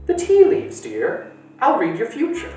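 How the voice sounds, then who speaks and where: A man voicing a fussy elderly woman, a retired stage actress, in a high, fluttery voice. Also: huge pitch swings, unhurried, darkish tone, very echoey, far from the mic, faint music behind, exaggerated voice. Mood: confiding.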